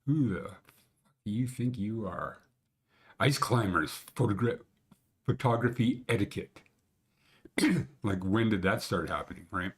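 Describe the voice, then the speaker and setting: A man's voice: fairly low pitch, a little slow, pauses often, slightly rough. A man in his sixties with a Canadian accent rambles through a casual talk over his screen, tossing in blunt and sometimes crude jokes. He talks from a small room at home into a webcam mic on his desk. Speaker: mountain conditions show host. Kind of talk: casual screen-share commentary.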